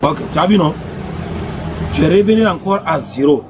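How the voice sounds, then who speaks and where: A man's voice: fairly high pitch, lively intonation, normal speed, background noise. A man in a heated religious debate, pressing his opponent with insistent, repetitive questions.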